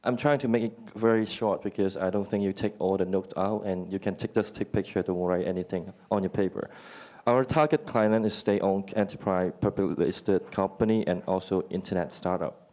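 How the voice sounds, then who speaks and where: A man from Hong Kong presents his company to a conference audience in a lowish voice. He jokes as he goes, and his English grammar is loose. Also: fairly even intonation, normal speed, dark tone. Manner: joking, non-native grammar. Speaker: founder and CEO panelist. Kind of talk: conference panel introduction. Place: conference room.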